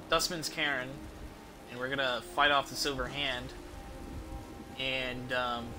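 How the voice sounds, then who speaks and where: A man giving casual, rambling gameplay commentary as a game streamer, with some swearing. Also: mid-range voice.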